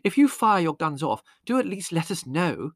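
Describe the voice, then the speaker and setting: Man putting on a frantic middle-aged mother, very high and shrill, fussing, storybook voice. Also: sing-song, moderate pace, no echo, studio quality, exaggerated voice. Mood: fretful.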